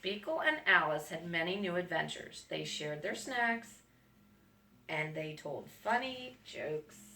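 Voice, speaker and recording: A woman's voice: lowish voice, expressive, slow pace. A woman reading a picture book aloud to young students, stopping often for friendly remarks. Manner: gentle, adds friendly remarks to young listeners. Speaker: school staff reading to students. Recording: echoey room, far from mic, faint noise.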